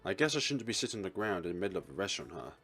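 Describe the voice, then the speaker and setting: Kazuki, a man playing a lively, awkward young guy. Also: low voice, rapid-fire, exaggerated voice. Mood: embarrassed.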